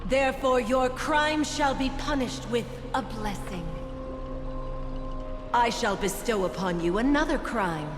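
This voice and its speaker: A woman's voice: a little high, a little slow, close to an everyday voice. Woman acting an imperious, echoing female messenger of judgment. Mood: ominous, solemn. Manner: commanding, formal, theatrical. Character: imperious female family messenger delivering judgment, cold and solemn. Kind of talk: dramatic scripted game dialogue. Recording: echoey hall reverb over music.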